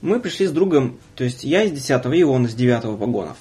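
A man hosts a casual radio talk show in a medium-pitched voice with a slight husk. He interviews playfully, teasing as he goes and bantering informally. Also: animated, fast, dark tone, slightly rough.

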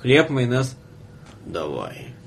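A man's voice: mid-range voice, wildly swooping pitch, slow pace, gravelly, breathy edge. A young man hosting a casual podcast, telling stories in an informal, slangy way. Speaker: podcast host.

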